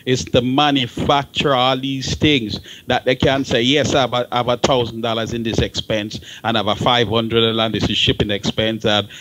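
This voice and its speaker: A man's voice: mid-range voice, little pitch movement, normal speed, hardly any pauses, a bit bright, poor audio. A man with a Jamaican accent speaking in an opinionated radio discussion, emphatic and often repeating words for stress.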